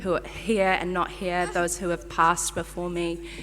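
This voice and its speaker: A young woman speaks at a ceremony, her lowish voice ringing through a reverberant hall. She sounds flustered and grateful, filling pauses with ums and quick nervous laughs. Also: level tone, a bit bright, a little hiss or hum. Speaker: medical graduate giving a speech. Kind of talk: ceremony acceptance speech.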